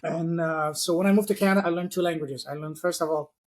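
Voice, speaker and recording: A man's voice: on the high side, steady tone, fast. Guy from france, non-native english, earnest storyteller, lots of uhs, call audio. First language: not English. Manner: earnest storytelling with many "uh" fillers. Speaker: guest. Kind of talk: online interview. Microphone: video call.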